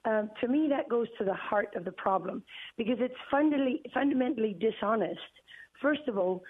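A middle-aged woman from South Africa, a journalist speaking as a guest in an interview, talking in a deliberate, emphatic way with many fillers and repeated words. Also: fairly high pitch, lively intonation, breathy edge, dry recording. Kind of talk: phone-in interview. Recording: tinny dry phone line.

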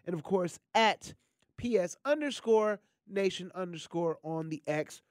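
A man hosting a sports radio show in a studio, chatty and energetic, his voice scratchy and nasal from a cold. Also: high voice, measured pace, no echo. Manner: energetic, chatty, lots of shout-outs. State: congested, scratchy voice. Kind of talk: casual sports radio talk.

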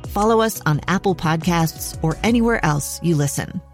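A woman with a lowish voice delivers a short promo in a steady, earnest tone. Faint music sits behind her. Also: moderate pace. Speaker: podcast promo announcer. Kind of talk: scripted promo read.

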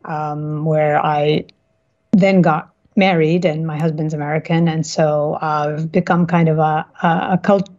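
A woman from Kuwait answering interview questions reflectively, often halting and correcting herself, on slightly dull audio. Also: deep voice, steady tone, darkish tone. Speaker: interview guest, cookbook author.

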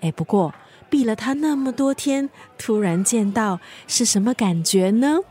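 A bossy best friend in a radio drama. Her high voice carries a Singaporean accent, and she talks with playful, probing wryness. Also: lively intonation, moderate pace, dark tone, breathy edge. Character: teasing, nosy, bossy female best friend. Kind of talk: naturalistic radio drama dialogue.

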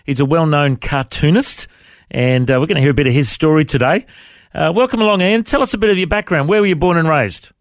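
A man's voice: a little high, quick pace, no echo, studio quality. A man hosting a podcast interview, prompting his guest with encouraging questions. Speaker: podcast host.

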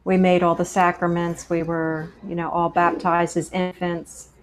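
A woman's voice: lowish voice, flat delivery, unhurried, slight background noise. A woman from Pennsylvania, a guest on a faith show, telling her life story in an earnest, anecdotal way and often restarting her sentences. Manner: earnest, anecdotal, repeats words as she restarts. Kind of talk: personal testimony in conversation.

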